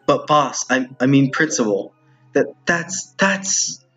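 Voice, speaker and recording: A man's voice: mid-range voice, lively intonation, slow, fairly bright tone, slightly rough, dull audio, soft background music, heavily acted. A man voicing a cowed, guilt-ridden male schoolteacher for a visual novel, meek and hesitant. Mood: meekly protesting.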